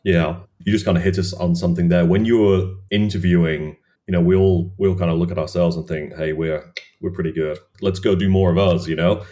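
A man's voice: low voice, steady tone, fairly quick, hardly any pauses, warm tone. A man hosting a podcast, asking conversational, loosely phrased questions. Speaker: podcast host. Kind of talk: interview questions.

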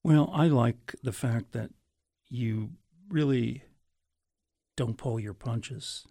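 A man's voice: mid-range voice, slow, vocal fry, mellow, no room sound. Folksy man interviewing, close and dry. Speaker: radio interviewer. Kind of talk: conversational book interview. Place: radio studio, in person.

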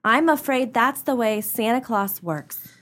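An actress playing a gentle, dramatic wife and mother in a comic radio melodrama. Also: on the high side, animated, measured pace. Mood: gently explaining.

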